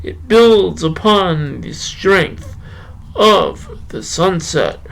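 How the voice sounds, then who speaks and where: Slow-talking earnest male podcast poet played by a man: high pitch, halting, drawn-out recital. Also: very animated, creaky, a bit bright, exaggerated voice, poor audio. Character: Slow Mo Joe, slow, dim, earnest male poetry show host. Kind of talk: halting poetry recital and rambling podcast talk.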